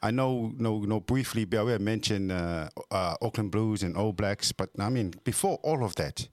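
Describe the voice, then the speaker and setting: A man hosting a conversational radio interview, speaking haltingly and often repeating words. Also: on the low side, a little mellow, no echo, studio quality. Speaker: radio show host.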